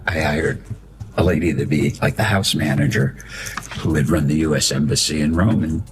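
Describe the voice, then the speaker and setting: A man's voice: extremely low pitch, steady tone, moderate pace, background noise. An older man recounting things in a rambling, anecdotal way under questioning.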